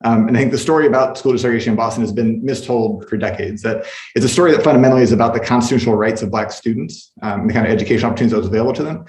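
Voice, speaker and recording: A man's voice: mid-range voice, very fast, darkish tone. A man giving earnest, articulate remarks as a panelist in an academic discussion. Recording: echoey room sound.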